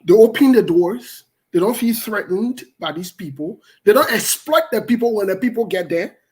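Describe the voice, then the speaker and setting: A man's voice: high voice, animated, normal speed. A man, hosting a political discussion and pressing his points emphatically, often repeating words for stress. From Liberia.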